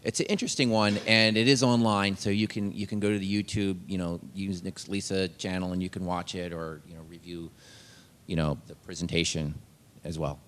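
A man with a very deep voice moderates a panel, cutting in briskly with a remark. His voice rings with the long reverb of a hall. A faint rumble runs underneath. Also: flat delivery, a bit bright. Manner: brisk interjecting.

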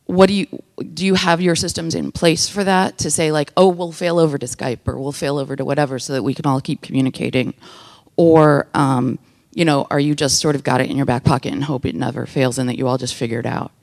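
A woman with a very low voice asks the panel a question from the audience. She talks loudly and chattily, with a joking edge. She is on a handheld mic that cuts out. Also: steady tone, brisk, creaky. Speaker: audience member asking a question. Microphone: handheld mic that cut out.

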